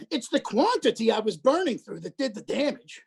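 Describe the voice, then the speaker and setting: A woman voicing a crude, lecherous older man who is a hospital patient, talking with a leering, boastful drawl and breathy chuckles. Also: fairly high pitch, big pitch swings, normal speed, a little mellow, heavily acted. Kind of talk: livestream play reading.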